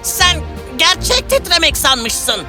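A very high-pitched talking parrot from a fairy tale cartoon. The bird is blunt, cheeky and sceptical, a wry little companion. Music plays clearly behind the voice. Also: big pitch swings, takes it slowly, exaggerated voice. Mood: alarmed.

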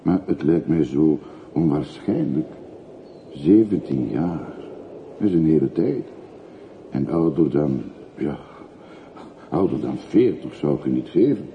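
An actor playing a talkative, obliging older railway porter in a radio play, chatty and deferential. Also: male voice, extremely low pitch, animated, measured pace, pauses often, exaggerated voice. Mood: curious, surprised. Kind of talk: radio drama acting. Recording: muffled narrowband radio recording with hiss.

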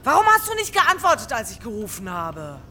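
A woman's voice: fairly high pitch, huge pitch swings, fairly quick, heavy background noise. A woman playing an exasperated, agitated grown daughter in a radio drama, scolding and incredulous. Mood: angry, exasperated. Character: exasperated, hectoring grown daughter, near hysterical.

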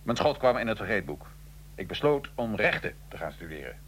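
Low-voiced condemned revolutionary. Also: male voice, very animated, a little fast, a bit bright, a little hiss or hum. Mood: matter-of-fact. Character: Italian male revolutionary prisoner, condemned, dryly matter-of-fact. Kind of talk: radio drama acting, interview-style storytelling.

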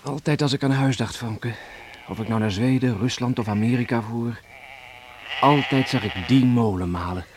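An actor playing a proud, teasing young sailor who has come home, in a Dutch radio play. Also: male voice, mid-range voice, normal speed, close to an everyday voice. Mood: reflective. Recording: noisy old radio drama recording.

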